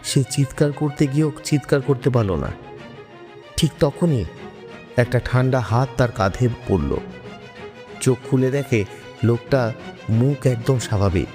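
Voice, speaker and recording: A man's voice: mid-range voice, animated, a little slow, long pauses, a little mellow. A man narrating a scripted horror story in a dramatic style. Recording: long reverb, music bed.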